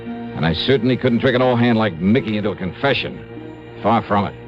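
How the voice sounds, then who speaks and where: An actor playing a wry, tough male insurance investigator in a 1950s radio drama, dry narration and brisk talk. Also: on the low side, close to an everyday voice. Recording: dull old radio broadcast with faint music.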